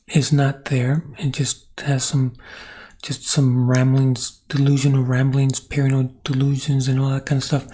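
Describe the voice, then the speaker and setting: Man rambling thoughtfully, mellow husky voice. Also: medium pitch. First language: Spanish. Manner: rambling, thinking aloud with many restarts and "right?". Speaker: channel host. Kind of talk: reflective monologue.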